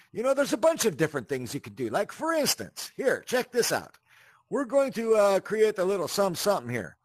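High-pitched, very animated man giving a tutorial. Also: no echo. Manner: animated, chatty, checks in with "right?" and "okay?". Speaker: tutorial host. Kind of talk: casual instructional walkthrough.

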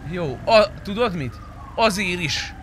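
A man's voice: high voice, lively intonation, a crowd in the background. A young man chatting casually and bluntly, with some swearing, during a game roleplay stream. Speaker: gaming streamer. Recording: faint background noise with sirens.

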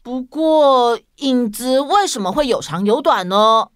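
High-voiced woman explaining to children. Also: expressive, measured pace, no room sound, clean studio sound. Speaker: podcast co-host.

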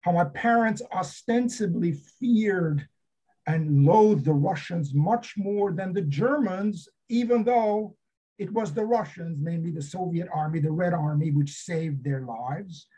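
An older man from Romania giving a seminar talk about his memoir over a video call, pausing often with fillers. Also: high voice, dark tone, breathy edge. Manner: hesitant, many fillers. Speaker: seminar speaker, author. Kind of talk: academic talk. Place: home study with bookshelves. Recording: dull lo-fi video call audio.

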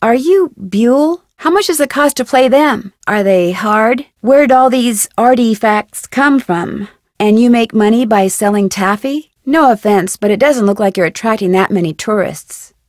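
A woman's voice: fairly high pitch, lively intonation, measured pace, no echo, clean studio sound. A woman playing a friendly, curious young American amateur detective in a video game, speaking in a perky, inquisitive, conversational way. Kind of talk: scripted game dialogue, conversational.

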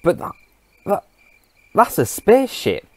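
A man's voice: high-pitched, wildly swooping pitch, unhurried, no echo, pitched above the performer's own voice, exaggerated voice. A man playing a bewildered, eager young male farmer in an audio drama, startled and questioning in manner. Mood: astonished.

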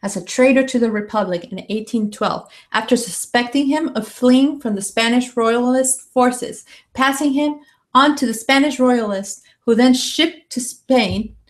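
A woman's voice: on the high side, a little slow. A woman in her thirties or forties reads a written article aloud as scripted narration into a studio microphone. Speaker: reader of an article. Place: indoor room. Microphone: studio microphone with pop filter.

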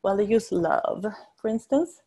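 A middle-aged woman with a Swedish accent giving a research presentation over video call, enthusiastic and halting as she searches for words. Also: mid-range voice, animated, warm tone, slightly rough. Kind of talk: conference presentation. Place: indoors at home or office, on video call.

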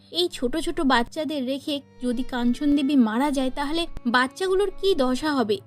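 A woman narrator reading a scripted cartoon story. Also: sits high.

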